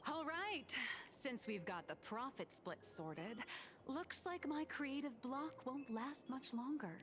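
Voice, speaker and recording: A woman's voice: high voice, animated, slow pace, a little hiss or hum. A woman voicing a scheming, playful comic artist with a theatrical, wheedling manner in a video game. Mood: grandiose. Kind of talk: game voice acting. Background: game music.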